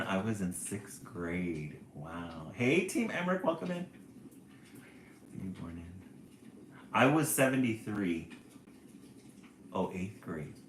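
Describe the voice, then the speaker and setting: A man's voice: fairly low pitch, a little hiss or hum. A man in his forties hosts a casual live stream, chatting away and reading viewer comments aloud with a laugh never far off. His voice swings up and down a lot, and the room around him rings with echo. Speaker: livestream host. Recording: roomy echo.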